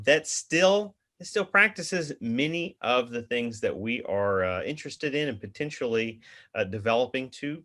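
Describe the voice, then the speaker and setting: Host: male professor, middle pitch, bright and forceful tone, loose and hesitant delivery. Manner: rambling, many fillers and restarts. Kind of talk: class interview introduction.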